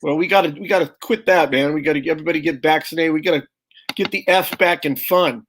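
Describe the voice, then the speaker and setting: A man's voice: a little high, quick pace, fairly bright tone. A man, a guest comedian, firing off brash stand-up jokes on a video call and calling the host brother. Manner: brash, punchy, calls people "brother". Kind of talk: stand-up style joke telling.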